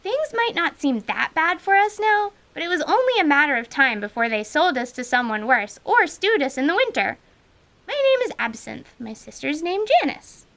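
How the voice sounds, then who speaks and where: Woman playing a blunt little girl, high voice, lively swooping pitch, chatty radio drama kid. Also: normal speed, creaky, exaggerated voice. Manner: frank, chattery, plain-spoken.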